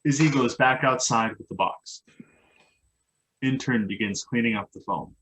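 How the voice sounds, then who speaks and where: A man's voice: mid-range voice, normal speed, a bit bright, slight background noise, rough recording. A man narrating stage directions as scripted reading for a play.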